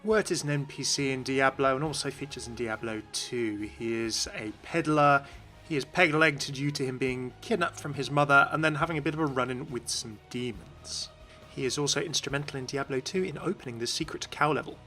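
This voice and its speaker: A man's voice: mid-range voice, fairly quick, bright, forceful tone. A man hosting a gaming video, giving scripted explanations in narration. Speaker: video host. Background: music.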